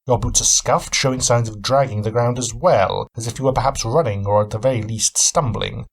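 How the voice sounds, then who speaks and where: A man's voice: medium pitch, steady tone, brisk, gravelly, slightly rough. A man voicing a thin, keen-eyed older male detective who speaks in a formal, courteous, deducing way. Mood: probing.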